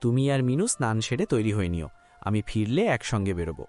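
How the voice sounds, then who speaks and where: Man acting an animated village family man. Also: fairly low pitch, fairly quick, over loud music. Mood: matter-of-fact. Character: practical village husband and father. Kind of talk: scripted cartoon dialogue.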